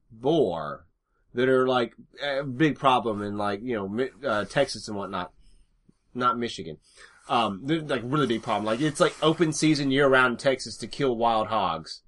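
Rambling man with a bright voice. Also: medium pitch, lively intonation. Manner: rambling, halting with many restarts. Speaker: podcast co-host. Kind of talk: casual podcast chat.

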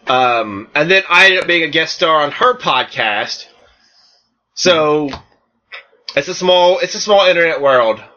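A man's voice: fairly high pitch, animated, measured pace, pushed and bright. A man with an American Southern accent, co-hosting a podcast in casual chat with lots of fillers. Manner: rambling, many fillers. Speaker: podcast co-host. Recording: dull lo-fi podcast audio.

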